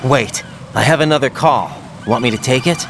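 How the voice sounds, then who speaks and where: A man's voice: medium pitch, moderate pace, close to an everyday voice. Earnest young soldier, played by a man, noisy backdrop. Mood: focused, earnest. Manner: questioning, serious, military. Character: young earnest male special-ops rookie on a hostage rescue mission. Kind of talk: dramatic video game voice acting.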